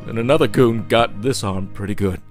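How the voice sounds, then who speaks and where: Man acting weary spider demon gangster over loud music. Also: lowish voice, brisk, natural voice. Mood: pained, stubborn. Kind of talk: audio drama acting.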